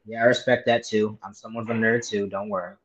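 A man's voice: fairly low pitch, level tone, quick pace, a bit bright, breathy edge. A man hosting a podcast, talking in a laid-back, slangy way. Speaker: podcast host. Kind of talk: casual chat. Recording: dull lo-fi mic.

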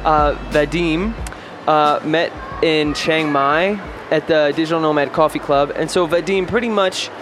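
A man's voice: fairly high pitch, unhurried, few pauses, background noise. An American man from New York hosting a podcast in a casual, slangy, informal way. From New York, United States. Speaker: podcast host. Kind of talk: casual interview.

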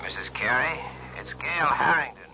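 An actor playing a grave, insistent police lieutenant in a 1970s radio drama, heard over a telephone. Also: male voice, fairly high pitch, lively intonation, moderate pace, creaky, pushed and bright, full character voice. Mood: urgent, insistent. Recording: tinny house phone in old radio broadcast.

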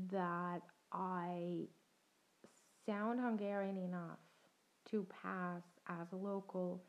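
A young adult woman hosting a podcast, telling her own story in a reflective, conversational way and laughing now and then. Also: lowish voice. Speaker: podcast host. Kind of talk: personal storytelling monologue. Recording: dull lo-fi sound.

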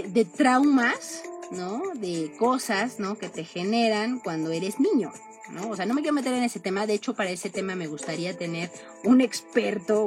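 Chatty woman over music. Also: medium pitch. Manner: chatty, tags most sentences with a questioning "no?". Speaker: podcast host. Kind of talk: casual monologue. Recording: music playing under the voice.

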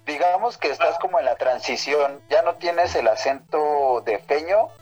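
A man's voice: a little high, normal speed, darkish tone, dull audio. Man, podcast host, laughs a lot, casual joking tone, faint music behind. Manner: laughs often, jokey interviewer. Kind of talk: casual chat.

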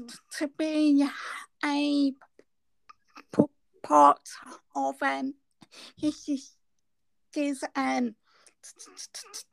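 Brief: female guest, severe stammer, very slow, high and creaky, dry sound. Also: dry recording, rough recording. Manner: severe stammer with long blocks and repeated sounds. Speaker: podcast guest. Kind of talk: casual interview answers.

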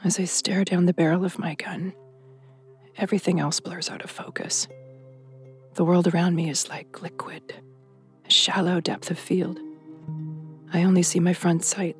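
A woman's voice: fairly low pitch, natural voice. A woman playing a tense, determined police officer in an audio drama, moving between intimate narration and urgent commands. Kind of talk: audio drama acting with hushed first-person narration.

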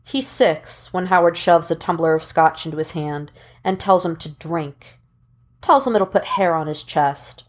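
A woman narrating fan fiction as an audiobook reader, in measured, deliberate phrases with dramatic pauses. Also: fairly low pitch.

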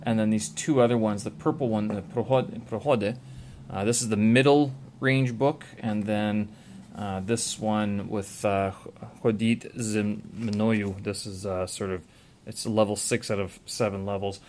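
Man, lower voice, loose rambling vlog monologue full of ums and restarts. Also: steady tone, measured pace, a little mellow, a little hiss or hum. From Canada. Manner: rambling, many filler sounds and self-corrections. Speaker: vlogger. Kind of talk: casual monologue to camera.

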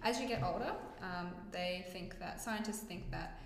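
A woman's voice: on the low side, normal speed, crowd noise. A young woman in casual chat, explaining step by step with many ums and restarts; her first language is Greek. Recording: echoey room with long reverb.

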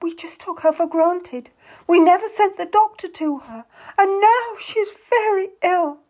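A woman's voice: on the high side, very animated, unhurried, muffled recording, pitched above the performer's own voice. A woman voicing a melodramatic, tearful young noblewoman who implores and schemes in an audiobook story. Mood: pleading.

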